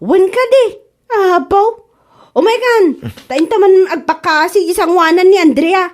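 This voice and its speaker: A fretful, gossipy wife acted out by a woman in an Ilocano radio comedy. Her voice sits high and she whines and pleads with plenty of exclamation. The accent is Ilocano. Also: measured pace. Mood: nagging, pleading. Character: gossiping, fretful, nagging wife in trouble with neighbours.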